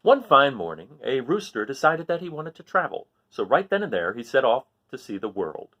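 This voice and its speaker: A man reads a children's picture book aloud with a lively, sing-song voice that rises and falls freely. He often stops to count along with his young listeners and ask them what comes next, in a warm teacherly way. His voice sits at an ordinary middle pitch. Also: moderate pace, highs cut off. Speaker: storyteller reading a picture book.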